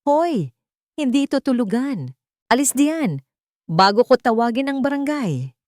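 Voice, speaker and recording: Cranky elderly woman for an audio drama, voiced by a female narrator: scolding bark, raised high pitch. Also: big pitch swings, unhurried, darkish tone, no echo, clean studio sound. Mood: angry scolding. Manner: barking, scolding. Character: cranky hunched old woman, gruff and scolding.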